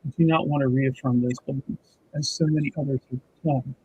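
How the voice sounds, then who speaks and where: A man playing an earnest, solemn member of a fantasy church in a tabletop game, speaking in measured, halting, conciliatory phrases. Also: mid-range voice, fairly even intonation, a little mellow, highs cut off, slight background noise, natural voice. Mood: grave warning. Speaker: tabletop roleplay player. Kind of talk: in-character improvised dramatic dialogue.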